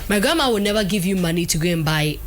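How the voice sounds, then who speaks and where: A woman's voice: on the low side, animated, fast talker, a little hiss or hum. A woman with a Ghanaian accent, talking casually as a radio interview host.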